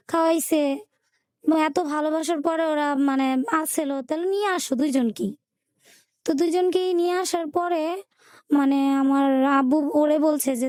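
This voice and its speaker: A woman with a Bangladeshi Bengali accent telling her life story in an interview, in a colloquial, halting way with repeated filler words. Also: high voice, dark tone, no room sound, studio quality. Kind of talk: personal storytelling in a radio interview.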